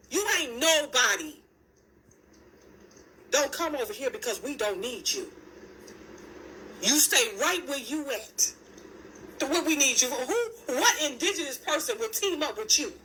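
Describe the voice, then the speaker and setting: Woman, emphatic, confrontational, repetitive, bright voice, faint background noise. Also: high voice, rough recording. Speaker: commentator. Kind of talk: impassioned video rant.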